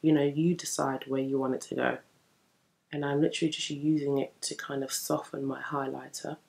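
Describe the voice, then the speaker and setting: Woman with a gravelly morning voice. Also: a bass voice, level tone, fairly quick, darkish tone. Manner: chatty, upbeat, explaining while applying makeup. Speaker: beauty vlogger. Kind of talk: casual makeup tutorial chat. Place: indoor room in front of a bookshelf. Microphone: camera at desk distance.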